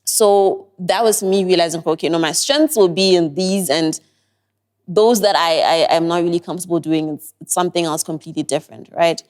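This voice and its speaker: Young woman w an american-sounding accent, kinda low voice, thoughtful and earnest, repeats her points for emphasis. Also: steady tone, brisk, a little mellow. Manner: reflective, earnest advice with emphatic repetition. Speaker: guest. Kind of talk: podcast interview.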